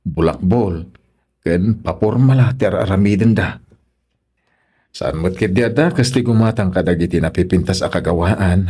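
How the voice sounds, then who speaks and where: Man as stern, low-voiced Ilocano father. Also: moderate pace, dark tone, husky. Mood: stern, admonishing. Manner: lecturing, admonishing. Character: stern provincial father, moralizing and practical. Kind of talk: radio drama acting.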